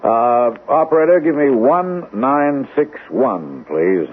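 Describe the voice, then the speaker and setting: An actor playing a courteous, probing male doctor in a radio mystery drama, measured and soothing with deliberate hesitations. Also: mid-range voice, lively intonation. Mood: courteous, reassuring. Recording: muffled, narrow-band, noisy old radio broadcast.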